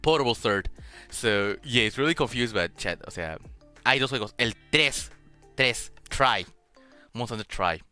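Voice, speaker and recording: A man hosting a casual streamed chat, halting as he searches for words in a language that is not his native Japanese, sometimes switching to Spanish. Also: medium pitch, expressive, sharp tone, smooth. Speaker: host of a streamed radio show. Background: music.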